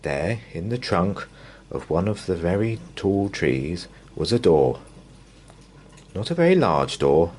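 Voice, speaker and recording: Low-voiced man reading a bedtime story, very expressive. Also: slight background noise. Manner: measured, drawn-out, expressive phrasing. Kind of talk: bedtime story read-aloud for young children.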